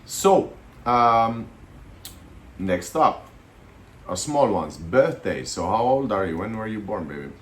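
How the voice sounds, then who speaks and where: A man in his thirties, from Estonia, chatting casually to camera with a relaxed, hesitant delivery and frequent fillers. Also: lowish voice, slow pace, pauses often. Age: 30s. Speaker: vlogger.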